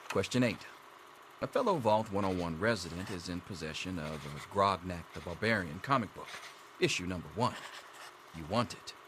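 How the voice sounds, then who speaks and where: An actor playing a dry, sarcastic schoolteacher running an exam in a video game. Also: male voice, deep voice, animated, moderate pace, noisy background. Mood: droll, reciting. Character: sardonic male vault schoolteacher giving an exam. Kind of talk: scripted video game character dialogue.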